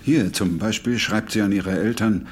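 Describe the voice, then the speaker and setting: A man's voice: lowish voice, normal speed, a little hiss or hum. A man reading scripted documentary narration as the narrator.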